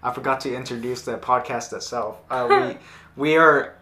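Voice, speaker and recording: A young American man in his late teens hosting a casual podcast interview, speaking haltingly with stammered repeats. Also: medium pitch, bright, forceful tone. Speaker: podcast host. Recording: roomy, echoing indoor sound.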